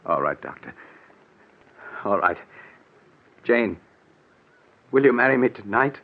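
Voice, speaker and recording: Husky haunted young bridegroom, old radio. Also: male voice, lowish voice, very animated, full character voice. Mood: nervous, hesitant. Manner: halting, overwrought, melodramatic. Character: haunted, frightened young bridegroom losing his sanity. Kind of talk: 1940s radio drama acting. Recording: dull, lo-fi old radio recording.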